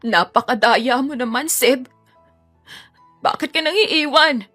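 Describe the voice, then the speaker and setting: A woman's voice: high voice, slow pace, bright, forceful tone, soft background music, exaggerated voice. A woman voicing a heartbroken, desperate young woman in an audio romance, crying out emotionally. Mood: hurt, tearful.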